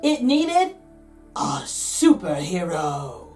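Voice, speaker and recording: A woman's voice: fairly low pitch, big pitch swings, extremely slow, darkish tone, far from the mic, soft background music. A woman reading a picture book aloud to children, dramatic and playful, drawing out key words for emphasis. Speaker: read-aloud host, storyteller.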